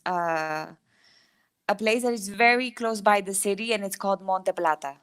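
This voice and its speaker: A woman from the Republic, answering interview questions in a measured, polite way. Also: mid-range voice, clear, resonant tone. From Dominican Republic. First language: Spanish. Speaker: guest, construction business owner.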